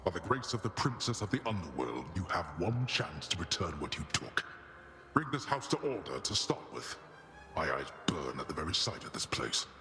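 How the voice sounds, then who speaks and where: A stern, world-weary god of the Underworld in a game cutscene. His voice is low and forceful, swinging widely as he issues imperious, formal pronouncements. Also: very animated, moderate pace, reverberant, big-room sound, faint music behind, full character voice. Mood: commanding. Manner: imperious, formal, archaic phrasing. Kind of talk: video game cutscene acting.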